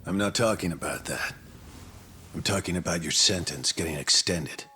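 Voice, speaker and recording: A man's voice: low-pitched, moderate pace, heavy background noise, natural voice. An actor playing a stern but caring male prison guard who lectures firmly, in a video game dub. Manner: firm, lecturing.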